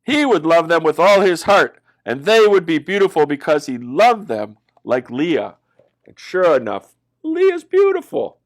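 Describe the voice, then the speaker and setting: A man reading a children's Bible story as a pastor, in a dramatic, expressive way with exaggerated emphasis. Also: a little high, measured pace.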